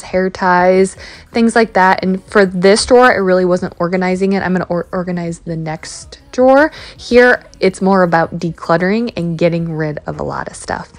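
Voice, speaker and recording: A woman in her thirties narrating a home cleaning vlog in a casual, chatty way, with many fillers. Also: fairly low pitch. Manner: chatty, relaxed, with many fillers like "um" and "you know". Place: home kitchen.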